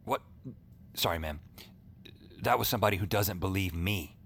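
An actor playing a world-weary night-shift dispatcher in a noir audio drama, with a wry, deadpan manner. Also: male voice, deep voice, normal speed, creaky, exaggerated voice. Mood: earnest, reassuring. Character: world-weary male night-shift emergency dispatcher who sees strange things.

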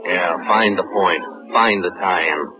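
An actor playing a dogged, deadpan police sergeant who narrates his case in clipped 1950s radio crime drama style, with a husky, understated delivery. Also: low-pitched, takes it slowly, loud music behind the voice. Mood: dry, weary. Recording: muffled old radio broadcast.

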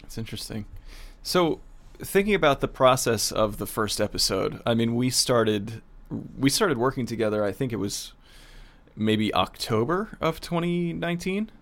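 A man's voice: medium pitch, expressive, moderate pace, a bit bright. A man co-hosting as a producer, asking questions and chatting in a casual, conversational interview style.

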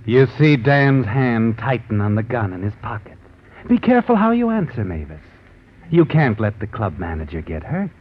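An actor playing a needling, sardonic crime-tale narrator in dramatic 1940s radio suspense style. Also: male voice, medium pitch, big pitch swings, moderate pace, husky. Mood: taunting suspense. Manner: dramatic second-person taunting. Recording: muffled old radio recording over music.

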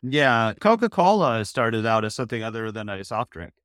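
A man's voice: fairly low pitch, big pitch swings, normal speed, fairly bright tone, smooth, no echo. The sardonic guy a listener would hear as the guest on a chatty comedy podcast, recorded dry and close. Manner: wry, sarcastic. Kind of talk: casual comedic chat.

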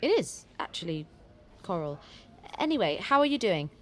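A woman's voice: medium pitch, sing-song, moderate pace, lightly acted. An actress playing a polite, impulsive, naive young British woman in an audio drama, hesitant and earnest. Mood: bright, chatty.

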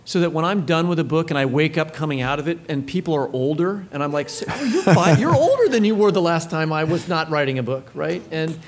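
A man's voice: fairly high pitch, expressive, fairly quick, hardly any pauses. A man co-hosting a live literary podcast, chatting casually in a jokey, rambling way. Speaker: podcast co-host. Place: live on stage at a book fair. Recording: live event with audience noise.

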